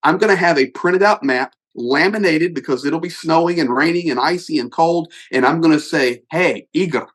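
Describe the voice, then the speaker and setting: A man's voice: a little high, normal speed, few pauses. Earnest middle-aged man appealing to viewers. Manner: earnest, emphatic, pushes on through restarts. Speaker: host; pastor and aid volunteer.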